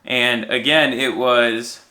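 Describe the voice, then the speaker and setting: A man's voice: medium pitch, fairly even intonation, takes it slowly, pushed and bright. A young American man telling personal stories in a casual, rambling, self-deprecating way on a podcast. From United States, raised in Minnesota. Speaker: podcast guest and co-host sharing his story. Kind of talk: casual conversational storytelling.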